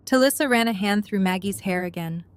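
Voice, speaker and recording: A woman's voice: mid-range voice, a little fast. A woman reading scripted third-person narration for an audio drama.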